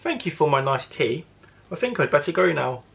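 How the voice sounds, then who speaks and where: A man's voice: fairly high pitch, sing-song, normal speed, creaky, fairly bright tone, voice pushed up, exaggerated voice. A man voicing a big, hungry and very polite tiger in a children's storybook. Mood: grateful. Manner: courteous.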